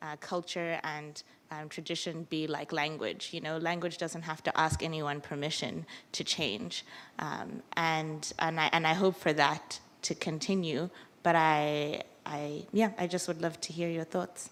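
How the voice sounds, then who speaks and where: Hesitant low-voiced woman. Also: steady tone, normal speed, fairly bright tone. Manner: hesitant with many ums. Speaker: audience member asking a question. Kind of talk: question from the audience. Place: conference hall.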